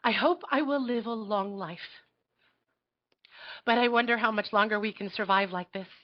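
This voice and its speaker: A woman playing an earnest, fearful, idealistic young girl in a live table read of a satirical play, with a halting, pleading delivery. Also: mid-range voice, close to an everyday voice. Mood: somber. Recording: dull lo-fi audio.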